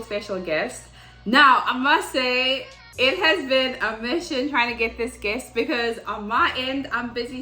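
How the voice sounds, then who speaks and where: A woman hosting a casual interview, chatty and excitable. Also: on the high side. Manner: chatty, excitable, bursts into shouts. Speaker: host. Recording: echoey room with faint music.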